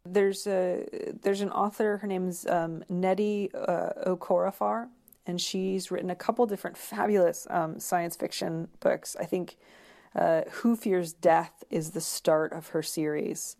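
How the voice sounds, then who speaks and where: A woman speaking as an interview guest in a conversational way, searching for words with many pauses and repeats. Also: on the low side, steady tone, creaky, mellow.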